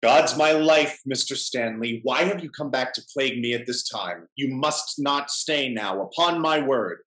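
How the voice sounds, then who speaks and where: A middle-aged man playing a scheming, flustered gentleman in a period stage comedy, declamatory and exasperated. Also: mid-range voice, a little slow, lightly acted. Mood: impatient. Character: scheming, blustering English gentleman nephew, easily flustered. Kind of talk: theatrical period comedy acting over video call. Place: indoor room at home. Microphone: computer mic on video call. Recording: roomy video-call audio.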